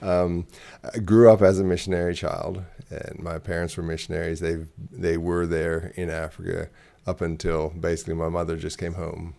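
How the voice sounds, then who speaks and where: A man's voice: sits low, little pitch movement, normal speed, gravelly, dark tone. A middle-aged man from Zimbabwe, a ministry director, telling his story in an interview with frequent hesitations and repeated words.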